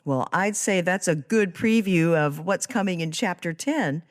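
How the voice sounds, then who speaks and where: A woman's voice: fairly low pitch, unhurried, dry recording, clean studio sound. A woman hosting a podcast interview, talking conversationally about a book and faith. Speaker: podcast host.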